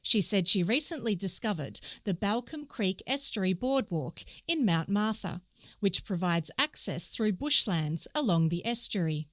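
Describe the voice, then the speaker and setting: Woman, Australian accent, clear even news read, dry close sound. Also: mid-range voice, normal speed, dry recording. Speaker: newspaper reader. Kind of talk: reading a news story aloud.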